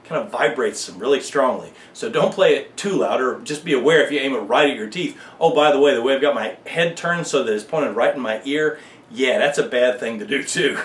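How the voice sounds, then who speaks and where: A man walks through a piece of music gear in a casual, conversational tutorial style with a lightly wry touch. His voice sits at a medium pitch. The room rings noticeably around him, with a faint layer of noise underneath. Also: a little fast, reverberant. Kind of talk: casual hands-on video tutorial.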